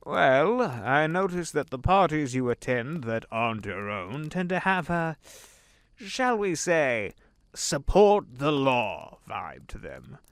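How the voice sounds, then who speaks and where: A man's voice: fairly high pitch, very animated, slow, dry recording. A man playing an urbane, sly old devil in an audio drama, wordy and courteous, savoring his phrases. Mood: genial, sly. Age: older.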